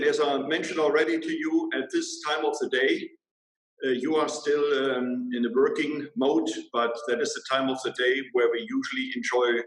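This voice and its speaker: A man in his sixties with a German accent, answering as an interview guest in a measured way with frequent hesitations over a video call. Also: mid-range voice. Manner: measured, with frequent ums and uhs. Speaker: guest, water brand owner. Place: bright room indoors. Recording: roomy echo.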